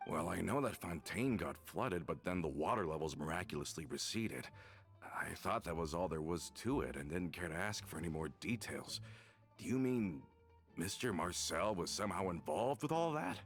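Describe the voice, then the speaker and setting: A man voicing a hearty, boisterous gang member in a video game, speaking loudly and jovially. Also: low-pitched, expressive, moderate pace, faint music behind. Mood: puzzled. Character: rough-and-tumble male gang member, boisterous.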